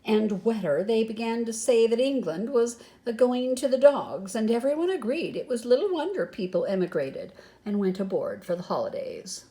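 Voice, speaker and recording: An older woman reading a picture book aloud as a grandmother, expressive and unhurried, with some hesitation. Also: fairly high pitch. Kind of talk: storybook read-aloud with soft asides.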